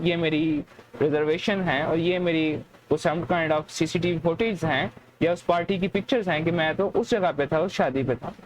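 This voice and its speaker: A woman's voice: lowish voice, rough recording. Pakistani woman lecturing over background noise. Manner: didactic, repeats points and poses questions then answers them. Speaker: law lecturer. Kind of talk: explanatory lecture mixing in English legal terms.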